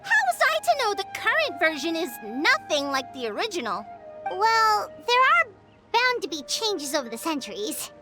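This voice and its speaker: A woman voicing a small, haughty, theatrical spirit creature with a squeaky voice in a video game. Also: huge pitch swings, moderate pace, heavily acted. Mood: proud. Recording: game music behind the voice.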